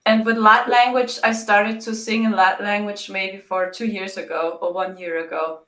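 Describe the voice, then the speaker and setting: German woman with a German accent, bright voice, halting answers, echoey room; interview guest. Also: mid-range voice, level tone. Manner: halting, simple phrasing with frequent "yeah".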